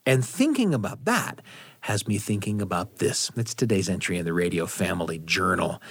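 A man hosting a radio show and telling stories in a deliberate, scripted style with dramatic phrasing. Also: low voice, expressive, a bit bright, husky, dry recording. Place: radio studio.

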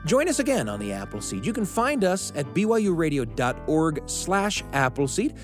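Man hosting radio storytelling, very lively intonation. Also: fairly high pitch, moderate pace, soft background music. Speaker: radio show host. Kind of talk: scripted storytelling radio narration.

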